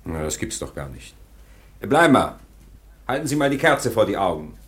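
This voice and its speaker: Commanding night-watch policeman, radio play, deep, animated intonation, curt, authoritative. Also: moderate pace. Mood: probing, puzzled.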